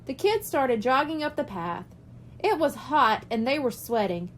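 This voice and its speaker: High, animated woman storybook reader. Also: measured pace, a little hiss or hum. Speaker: audiobook reader. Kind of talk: children's storybook narration.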